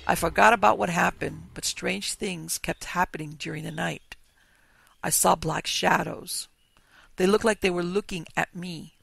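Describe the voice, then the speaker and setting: A man hosting a podcast, reading listener ghost stories aloud in a slow, measured storytelling manner. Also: sits high, gravelly, a bit bright. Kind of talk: scripted narration of ghost stories.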